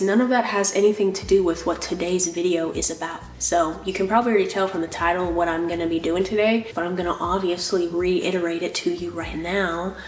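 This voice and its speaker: A woman's voice: lowish voice, echoey room. Stuffy-nosed young woman rambling casually. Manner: rambling, lots of "like" fillers, apologetic. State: nasal from a cold. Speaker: vlogger. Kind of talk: casual chatty vlog.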